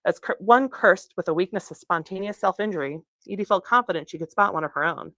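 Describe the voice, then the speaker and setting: A woman reading narration from a young adult novel aloud in an expressive storytelling way. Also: fairly low pitch, fast, dry recording. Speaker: author reading from their book.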